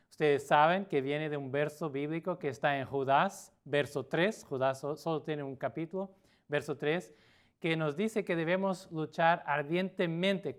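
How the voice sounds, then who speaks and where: A middle-aged man from Portugal, a native Portuguese speaker, preaching a sermon as a pastor with an earnest manner and frequent pauses. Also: on the high side, clear, resonant tone. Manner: earnest, with pauses and filler sounds.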